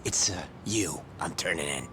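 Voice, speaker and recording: Very deep, sneering crook. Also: male voice, moderate pace, background noise. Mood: smug, sneering. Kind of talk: English video game cutscene dub acting. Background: loud music.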